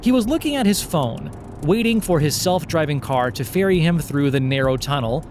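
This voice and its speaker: Sardonic higher-voiced man narrating, noisy backdrop. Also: animated, normal speed. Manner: sardonic, mock-earnest storytelling. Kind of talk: scripted satirical audio fiction narration.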